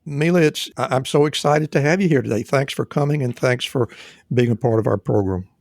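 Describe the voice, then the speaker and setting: A man hosting a podcast interview, speaking haltingly with many fillers and restarts. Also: mid-range voice, vocal fry, a little mellow, dry recording, studio quality. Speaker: podcast host.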